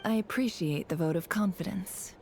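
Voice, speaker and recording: A woman playing a calm, reserved, gentle leader in a video game, speaking softly and in measured phrases. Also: mid-range voice, gravelly, faint music behind, close to an everyday voice. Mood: reassuring.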